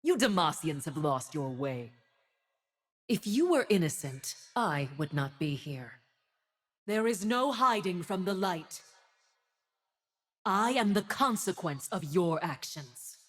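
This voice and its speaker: A woman's voice: on the low side, big pitch swings, takes it slowly, pauses often, breathy edge, exaggerated voice. A woman playing a proud, righteous winged warrior and judge in a video game, with a solemn, commanding, haughty delivery. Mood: stern judgment. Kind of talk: dramatic video game voice acting.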